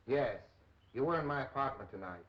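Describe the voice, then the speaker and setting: A man's voice: medium pitch, expressive, brisk, natural voice. Brusque, threatening spy boss, played by a man. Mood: curt, threatening. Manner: curt, demanding, clipped. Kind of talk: 1930s film acting. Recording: dull 1930s film soundtrack.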